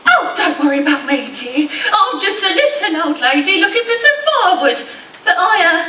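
A woman's voice: very high-pitched, big pitch swings, moderate pace, pushed and bright, a little hiss or hum, heavily acted. A woman playing a kindly, mysterious little old lady in a stage pantomime. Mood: sweet, knowing. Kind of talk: theatrical pantomime acting. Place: large hall stage, indoors. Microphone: far camera. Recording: echoey stage hall from far off.

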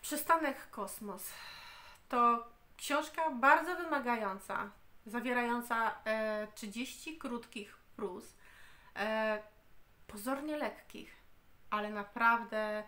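List: woman, thirties, higher pitch, slow, hesitant restarts, reviewing a book at home. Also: bright, forceful tone. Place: home room, indoors.

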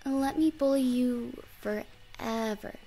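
A woman's voice: fairly high pitch, fairly even intonation, slow, dark tone. A woman acting a playful, childlike young goth in an ASMR roleplay.

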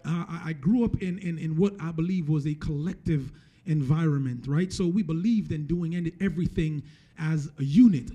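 A man in his thirties preaches with a high, mellow voice. He talks to his congregation conversationally, circling back over his phrases and checking in with "right?" as he goes. He is on a handheld microphone. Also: moderate pace. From Jamaica, moved to the US at eleven. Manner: repeats and restarts phrases for emphasis, often tags points with "right?". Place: church stage, indoors. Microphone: handheld microphone held close.

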